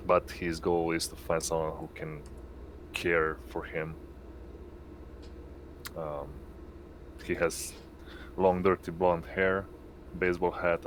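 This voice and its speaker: Very deep, slow, even-voiced man. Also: a little hiss or hum. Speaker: tabletop game player. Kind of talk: plain character introduction.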